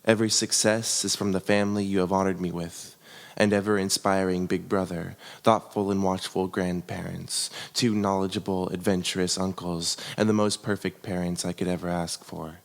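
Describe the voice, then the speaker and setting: Deep-voiced young man in long reverb. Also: little pitch movement, normal speed, creaky. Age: young adult. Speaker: reader of a family letter. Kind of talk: expressive reading aloud of a letter.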